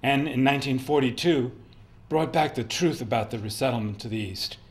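A man playing an earnest young resistance courier, giving a deliberate first-person account in a dramatic reading. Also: mid-range voice, lightly acted. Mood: grave, earnest.

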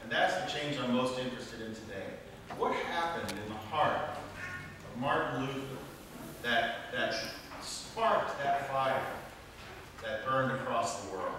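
A middle-aged man, a pastor, preaching earnestly and deliberately from a church lectern. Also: a little high. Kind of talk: sermon. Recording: echoey church, distant mic, faint noise.

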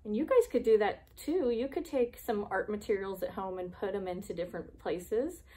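A woman's voice: mid-range voice, moderate pace, dark tone, rough recording. A woman, chatting casually and reading aloud for preschoolers, asking simple, encouraging questions. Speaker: preschool devotion host. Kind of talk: casual chat and reading aloud for young children. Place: small classroom, indoors.

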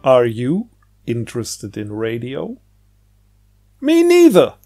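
A man's voice: medium pitch, huge pitch swings, slow, music in the background. A man hosting a casual, reflective monologue, speaking in a hesitant, searching way and repeating words. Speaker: video host.

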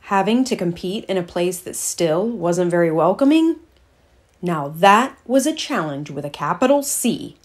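A middle-aged woman reading a picture book aloud for children, with deliberate, teacherly phrasing and pauses. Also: on the low side, animated. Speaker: children's read-aloud host. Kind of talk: storybook read-aloud narration for children.

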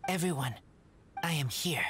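A man acting feral teenage game boy, slow broken phrases, breathy rasp, swooping pitch, faint noise. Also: expressive, lightly acted. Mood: earnest, guarded. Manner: halting, clipped broken phrases. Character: feral, earnest wolf-raised teenage boy of few words.

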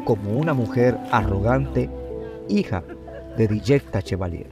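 A man reading a scripted biographical narration in a formal, measured way, in short phrases. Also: on the low side, animated. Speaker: documentary narrator. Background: background music.

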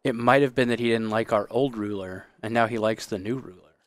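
A man's voice: on the low side, a little fast, no echo. A man, chatting casually and playfully while co-hosting a strategy game stream from a small home room. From Texas, USA. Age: 30s. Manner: playful, hammy, breaks into loud exaggerated bits. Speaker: streamer co-host. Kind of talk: casual gameplay chat.

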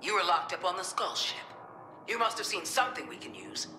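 An actress playing a stern, ruthless government commander in a video game, blunt, contemptuous and commanding. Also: female voice, lowish voice, lively intonation, a little fast, roomy sound, background noise, close to an everyday voice. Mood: blunt, impatient. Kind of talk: dramatic video game voice acting.

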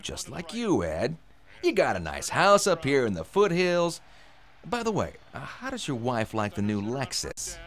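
An actor playing a menacing robber in a radio drama, taunting and sarcastic with a false friendliness. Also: male voice, mid-range voice, wildly swooping pitch, measured pace. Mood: smug. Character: menacing, mockingly friendly male robber posing as FBI agent.

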